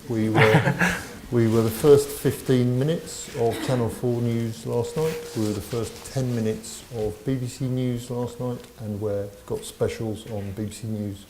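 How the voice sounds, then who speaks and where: Male panelist, fifties, deep and mellow, roomy sound with light hiss. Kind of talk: conference panel introduction.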